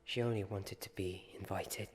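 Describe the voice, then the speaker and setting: A man playing a nervous student at a wizard school in an ASMR roleplay, speaking in a hushed, uncertain way. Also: sits low, fairly even intonation, normal speed, natural voice. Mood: uneasy, hushed.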